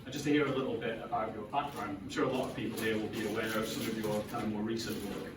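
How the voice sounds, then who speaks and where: A man's voice: lowish voice, flat delivery, fast. A man hosting an on-stage interview who talks hesitantly and fills his questions with "kind of". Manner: hesitant, many fillers like "kind of". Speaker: host interviewer. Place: event hall with audience. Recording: very echoey, distant, noisy hall.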